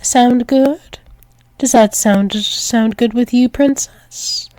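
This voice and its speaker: Woman playing a slow, tender alpha werewolf lover. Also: a little high, a little mellow, dry recording. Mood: coaxing, possessive. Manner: soothing, repetitive, hesitant with small stumbles. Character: tender dominant female alpha werewolf demon lover, doting and possessive. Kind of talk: intimate ASMR roleplay, reassuring a partner. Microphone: close microphone, dry.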